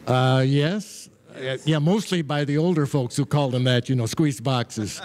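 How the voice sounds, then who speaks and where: A man's voice: mid-range voice, measured pace, a little mellow. An older man, a guest musician, answering interview questions in a genial, easygoing way on a fairground barn stage. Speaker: accordionist guest. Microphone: host's handheld mic.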